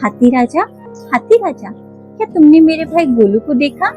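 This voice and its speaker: A woman's voice: high-pitched, sing-song, moderate pace, mellow, soft background music, pitched above the performer's own voice. A woman voicing an earnest young calf girl in a children's toy cartoon story. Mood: earnest, searching.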